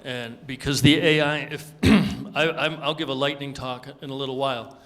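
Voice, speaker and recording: A man's voice: medium pitch, steady tone, normal speed, fairly bright tone, in a large hall. A man on a panel, explaining his views and thinking aloud as he goes. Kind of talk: panel discussion.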